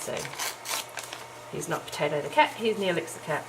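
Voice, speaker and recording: Croaky low-voiced woman. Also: normal speed. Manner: chatty and self-deprecating, with frequent ums and little laughs. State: croaky morning voice. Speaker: crafting vlogger. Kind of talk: casual chat while crafting. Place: home, early morning. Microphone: camera's built-in mic. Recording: noisy home camera recording.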